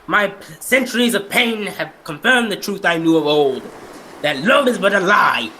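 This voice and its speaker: Young man as a high-voiced, bitter cursed captain. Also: animated, normal speed, sharp tone, a little hiss or hum. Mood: bitter, scornful. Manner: declamatory, anguished, vengeful. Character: bitter, cursed, centuries-old male ghost ship captain. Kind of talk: old-time radio melodrama acting.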